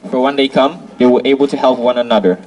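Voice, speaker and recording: A young man answering an interview in a halting, quiet manner, correcting himself often. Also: medium pitch, fairly even intonation, rough recording.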